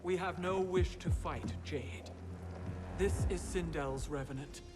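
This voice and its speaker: Stern low-voiced warrior queen, bossy n blunt, game cutscene w loud music behind. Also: takes it slowly. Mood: commanding. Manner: imperious, terse. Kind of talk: dramatic video game acting.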